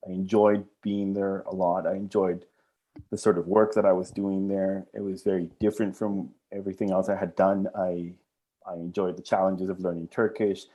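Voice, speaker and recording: Man, from Colombia, middle-aged, low voice, hesitant, repeats words, interview guest. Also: little pitch movement, normal speed, warm tone. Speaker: archaeology professor, guest. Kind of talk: interview answers.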